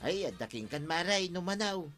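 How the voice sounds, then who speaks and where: A man's voice: sits high, expressive, normal speed, fairly bright tone, faint music behind. A man playing a blunt, grumbling village firewood seller in a Filipino radio comedy drama. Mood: blunt, bantering.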